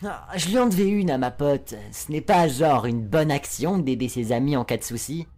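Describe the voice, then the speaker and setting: A woman voicing a very low, sneering spider demon. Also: moderate pace, mellow, lightly acted. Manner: sarcastic, lewd, mocking drawl. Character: crude flamboyant male spider demon, sarcastic. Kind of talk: fan dub acting.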